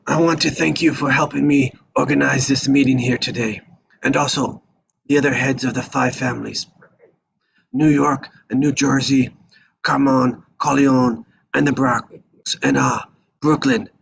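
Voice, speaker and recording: A man playing an aging, dignified mafia don in a live table read, with a gravelly, raspy voice. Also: medium pitch, fairly even intonation, a little slow, slight background noise, low-quality recording. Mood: solemn, conciliatory.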